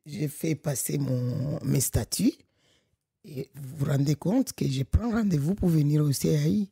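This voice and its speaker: A middle-aged woman from Congo, director of a local association, speaking in an animated, emphatic way with frequent restarts. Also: sits low, unhurried, gravelly, breathy edge, no echo, studio quality. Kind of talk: conversational podcast interview.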